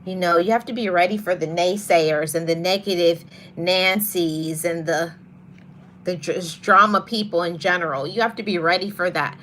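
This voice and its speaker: A woman hosting a motivational podcast, talking to her audience in a soft voice and repeating her key phrases for emphasis. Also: fairly low pitch, normal speed, slight background noise. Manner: greets live viewers mid-talk. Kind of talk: live-streamed motivational talk.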